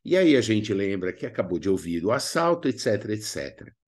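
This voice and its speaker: Animated Brazilian man teaching. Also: on the low side, a little fast. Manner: didactic, expressive, colloquial asides. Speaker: drama teacher presenting a video lesson. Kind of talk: instructional narration with acted examples.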